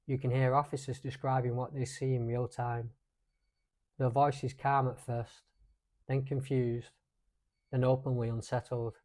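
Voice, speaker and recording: Mellow-voiced man narrating a solemn mystery documentary. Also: medium pitch. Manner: measured, solemn, short dramatic phrases.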